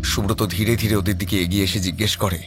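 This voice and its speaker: A man's voice: on the low side, steady tone, fast talker, a bit bright, a little hiss or hum. A man reading scripted narration for a dramatized horror audio story.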